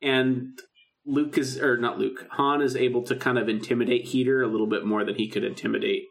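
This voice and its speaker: A man's voice: medium pitch, level tone, a little fast, vocal fry. A man co-hosting a podcast, chatting casually and enthusiastically like a fan reviewing a show. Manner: enthusiastic fan, acts out lines in asides. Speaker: podcast co-host. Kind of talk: casual chat reviewing a radio drama.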